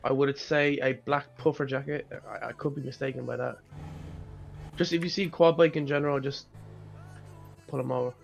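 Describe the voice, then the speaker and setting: A man's voice: mid-range voice, fairly even intonation, normal speed, creaky, pauses often, soft background music. A man playing a police roleplay game, giving casual radio chatter.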